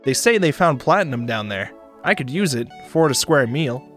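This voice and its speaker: A man's voice: medium pitch, expressive, normal speed, fairly bright tone, soft background music, natural voice. A man voicing a wry, broke, laid-back space shuttle passenger in a visual novel, speaking casually. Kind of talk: visual novel character reading.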